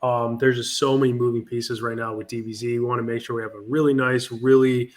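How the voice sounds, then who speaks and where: A man's voice: medium pitch, little pitch movement, fairly quick. A man speaking for his project in a casual interview over a video call, confident and promotional, with frequent fillers. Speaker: project team guest.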